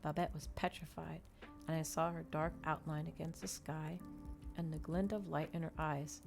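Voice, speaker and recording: A woman with a low, slightly gravelly voice reads fiction aloud for ASMR. Her delivery is measured and expressive, like an audiobook narrator's. Faint music plays behind her. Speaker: streamer reading a novel aloud. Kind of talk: dramatic ASMR audiobook reading.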